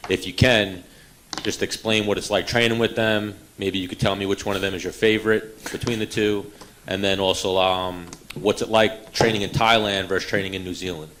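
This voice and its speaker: A man's voice: on the low side, flat delivery, moderate pace, a bit bright, a little hiss or hum, poor audio. A man asking a fighter friendly, casual questions at a media day. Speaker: reporter. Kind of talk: press questions.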